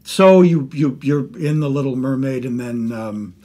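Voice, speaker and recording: Man, middle-aged, interview host, husky tone, mid-range pitch, conversational studio talk. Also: expressive, a little slow, mellow. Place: recording studio.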